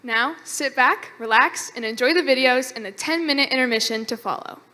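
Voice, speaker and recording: A woman emceeing an awards ceremony in a bright, sing-song announcing manner. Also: high voice, brisk, background noise. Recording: echoey hall, mic far off, loud music.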